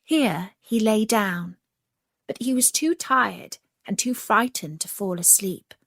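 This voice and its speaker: Slow, husky woman storyteller. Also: mid-range voice, fairly bright tone, no room sound, clean studio sound. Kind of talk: scripted children's story narration.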